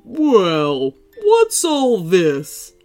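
Give me a woman putting on a gentle giant of a man in a red suit, speaking softly and slowly with a lively lilt. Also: mid-range voice, soft background music, exaggerated voice. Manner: soft, gentle, slow and warm.

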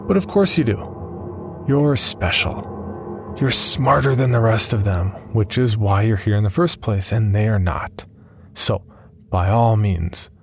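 A man narrates a scripted horror story in a low voice. His delivery carries a heavy, gravelly fry.

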